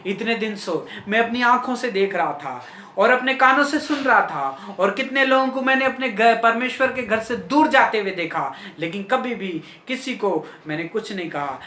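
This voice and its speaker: A man's voice: high-pitched, bright, forceful tone, echoey room. A man preaching a sermon with fervent, repetitive emphasis that rises and falls.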